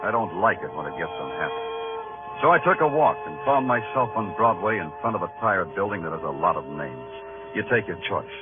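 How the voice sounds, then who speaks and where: World-weary New York cop, American accent, clipped, wry, animated intonation, old-time radio crime drama, narrow muffled sound. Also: male voice, deep voice, a little fast. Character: hard-boiled male New York police detective narrating his case. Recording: muffled vintage radio broadcast with music.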